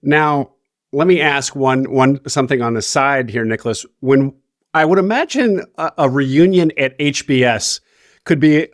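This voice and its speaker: A man's voice: medium pitch, unhurried, no echo, studio quality. A man hosting a podcast interview, talking conversationally with emphatic rises on key words. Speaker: podcast host.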